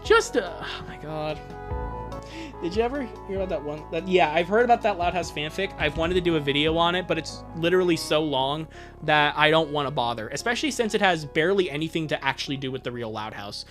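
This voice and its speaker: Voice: medium pitch, lively intonation, fairly quick, faint music behind. A livestream host chatting casually and reacting to viewers in an exasperated, rambling, sweary way. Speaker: livestream host reading fan fiction aloud.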